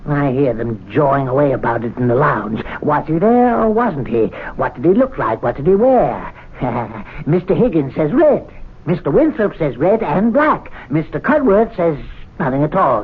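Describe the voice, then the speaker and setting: A man's voice: on the high side, breathy edge. An older actor playing a resentful older male servant who plots pranks on club members, talking with sly, chuckling glee. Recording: tinny 1970s radio broadcast.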